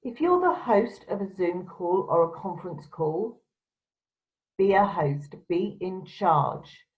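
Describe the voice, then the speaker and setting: A woman's voice: fairly low pitch, expressive, slow pace. A woman presenter giving instructional advice to camera in a firm, direct, emphatic way. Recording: dull, lo-fi sound.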